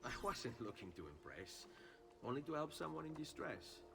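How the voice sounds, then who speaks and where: A man's voice: medium pitch, big pitch swings, moderate pace, creaky, far from the mic, music in the background. A man playing a charming, cocky young Renaissance nobleman with an Italian accent in a video game. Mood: suave, courteous.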